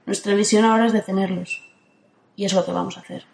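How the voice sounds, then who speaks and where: A woman from playing a calm, ageless guardian who speaks in a steady, firm way in an audio drama. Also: medium pitch, fast, vocal fry, lightly acted. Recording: roomy echo.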